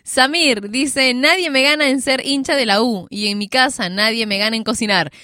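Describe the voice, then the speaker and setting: A woman's voice: a little high, normal speed, sharp tone, no room sound, clean studio sound. A woman hosting radio, chatting casually and giggling as she reads out listener messages. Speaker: radio host. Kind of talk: casual live chat reading listener messages.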